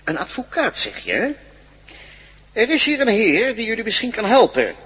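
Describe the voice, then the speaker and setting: An actor playing a gloating, self-important police jailer in a radio drama, mocking and officious. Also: male voice, high-pitched, huge pitch swings, moderate pace, pushed and bright, husky, long reverb tail, exaggerated voice.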